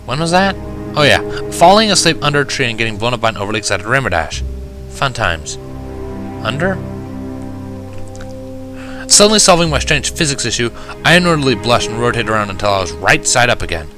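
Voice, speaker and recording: A man's voice: mid-range voice, sing-song, a little fast, pauses often. A man reading first-person fiction as a dramatic narration, with a wry, sardonic delivery. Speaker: fan fiction reader. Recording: music behind the voice. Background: music.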